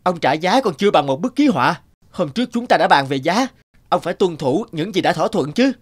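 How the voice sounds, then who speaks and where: A man's voice: high voice, animated, a little fast, slight background noise. A man voicing a proud, earnest painter in a cartoon, polite but firm. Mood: protesting. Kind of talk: animated moral tale dubbing.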